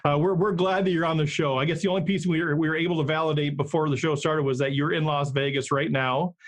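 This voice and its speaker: A man's voice: fairly high pitch, steady tone, fast talker. A middle-aged man hosting a podcast interview, joking and chuckling as he asks questions. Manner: jokey and chuckling. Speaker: podcast host.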